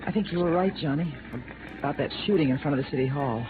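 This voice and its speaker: A woman playing a scared, defensive, sharp-tempered widow in dramatic 1950s radio style. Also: deep voice, fast talker, music in the background, natural voice. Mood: uneasy, subdued. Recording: tinny noisy vintage radio recording.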